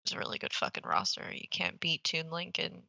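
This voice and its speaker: A woman's voice: low-pitched, huge pitch swings, a little fast, vocal fry, pushed and bright, no echo. A young woman co-hosting a gaming podcast in casual, slangy chat, swearing freely and teasing playfully. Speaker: podcast co-host.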